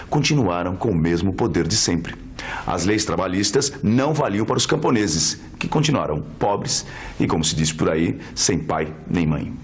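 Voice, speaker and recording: A Brazilian man presenting an educational documentary in a conversational narrating style. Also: deep voice.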